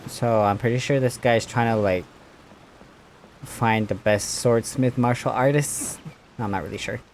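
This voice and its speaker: A woman's voice: extremely low pitch, normal speed, darkish tone, a little hiss or hum. A woman game streamer giving casual playthrough commentary and reading some dialogue aloud.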